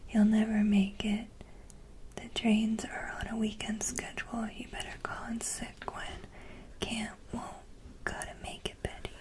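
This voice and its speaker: A woman reading out a harried young comic-book heroine in a breathy, fretful whisper. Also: a little high, flat delivery, measured pace, natural voice.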